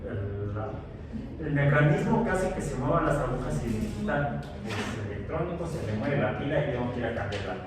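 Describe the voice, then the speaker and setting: A man's voice: mid-range voice, animated, moderate pace. A man, a science teacher chatting casually with his class, telling rambling stories full of fillers and restarts. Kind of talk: casual chat with students before class. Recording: very echoey room, distant mic, background noise.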